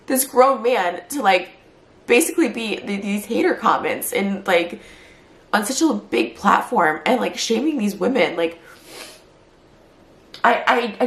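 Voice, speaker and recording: Tired, hurt woman vlogging, gravelly voice. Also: medium pitch, reverberant. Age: 30s. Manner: tired, hurt and fast-talking, with many "like" fillers. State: short on sleep, near tears later. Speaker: YouTuber. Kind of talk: emotional response vlog.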